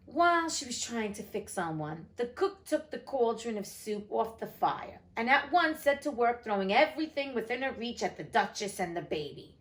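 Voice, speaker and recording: A woman's voice: medium pitch, a bit bright. A woman narrating a storybook theatrically, with casual, irreverent asides. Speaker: host reading a classic novel aloud.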